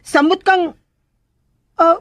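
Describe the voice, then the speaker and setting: An actress playing a cheeky, pleading young caretaker in a radio drama, blurting things out in hesitant, chatty back-talk. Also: female voice, very high voice, steady tone, extremely slow, fairly bright tone, dry recording, full character voice. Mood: flustered defensive.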